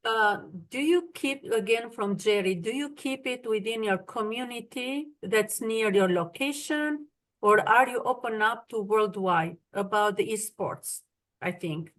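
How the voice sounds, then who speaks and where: A woman's voice: a little high, a little slow, fairly bright tone. A woman with an Albanian accent, a native Albanian speaker, moderating an online webinar and reading out audience questions over a video call. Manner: reads audience questions, apologetic about names.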